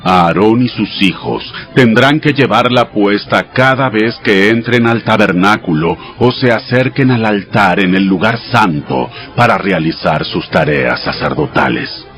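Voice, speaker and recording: A man playing a solemn God giving measured, exacting instructions in a dramatized scripture reading. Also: fairly low pitch, animated, fairly bright tone. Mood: solemn, commanding. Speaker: Bible audio drama voice actor. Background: music behind the voice.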